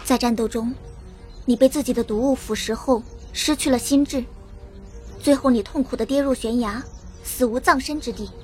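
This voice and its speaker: A woman's voice: fairly high pitch, moderate pace, darkish tone, faint music behind, lightly acted. A woman playing a small, brave, determined girl fighter in a radio drama, speaking earnestly and boldly. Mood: stern resolve.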